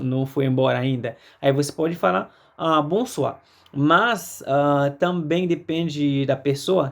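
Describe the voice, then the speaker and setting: A man from Haiti with a Haitian accent, a native Haitian Creole speaker, teaching a casual language lesson in a halting way with frequent check-in tags. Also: a little high, creaky, a little mellow.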